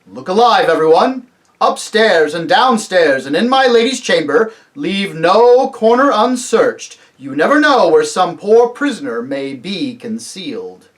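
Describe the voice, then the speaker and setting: A reader voicing a majestic, jovial male lion leader, loud, measured and commanding, in a storybook. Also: mid-range voice, sing-song. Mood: brisk, commanding.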